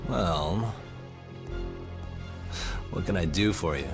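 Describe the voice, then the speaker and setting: A man playing a calm, casual private detective in conversational video game cutscene dialogue. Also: low-pitched, slow. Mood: inquisitive. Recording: game music under the voice. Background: music.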